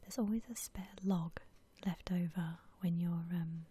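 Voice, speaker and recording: A woman doing whispered ASMR commentary over a video game, gentle and unhurried in a breathy whisper. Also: lowish voice, steady tone, a little hiss or hum. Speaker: video game streamer narrating her building session.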